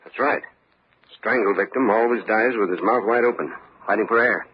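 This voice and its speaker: An actor playing a steady, businesslike police lieutenant in a vintage radio mystery, laconic and matter-of-fact. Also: male voice, fairly low pitch, normal speed, breathy edge. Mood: measured, businesslike. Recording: dull, noisy old radio recording.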